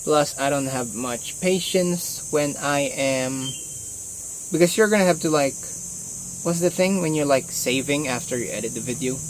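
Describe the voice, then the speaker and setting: A man's voice: a little high, moderate pace, noisy background. A young man from the Philippines with a Filipino accent, speaking English as his second language in a casual, hesitant vlog on his phone, full of fillers and restarts. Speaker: vlogger.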